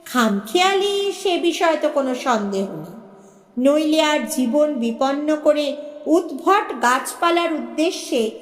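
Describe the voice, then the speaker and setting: A woman narrating a story in an expressive, measured, dramatic audiobook style. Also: sits high. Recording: echoey with long reverb.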